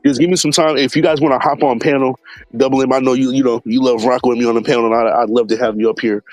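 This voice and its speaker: A relaxed man hosts a casual live stream at a mid pitch, loose and slangy, full of fillers as he greets viewers by name. He sounds a little stuffed up from allergies. The recording is close and dry, with faint music playing underneath. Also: quick pace, no echo, poor audio.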